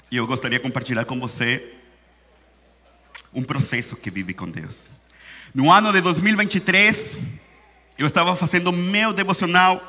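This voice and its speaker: Venezuelan-accented preacher in a reverberant church hall. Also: male voice, fairly high pitch, pushed and bright. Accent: Venezuelan Spanish. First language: Spanish. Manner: emphatic, repeats key phrases and slows down for weight. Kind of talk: sermon.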